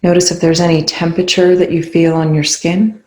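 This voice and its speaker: A calm, soothing voice leading a guided meditation in gently spaced phrases. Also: medium pitch, a little fast. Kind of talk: guided meditation, soft instruction. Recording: roomy, echoing indoor sound.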